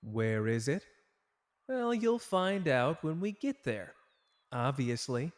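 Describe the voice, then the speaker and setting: A man's voice: medium pitch, very animated, slow, no echo. A man playing a cocky, tender young male sorcerer in an intimate ASMR romance roleplay, with a playful, teasing, casual manner.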